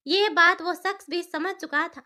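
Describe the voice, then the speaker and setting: A woman narrates a cartoon story in a very high-pitched voice. Her tone is bright and forward, and she reads as a scripted storyteller. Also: unhurried. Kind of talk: scripted cartoon story narration in a Hindi moral-tale format.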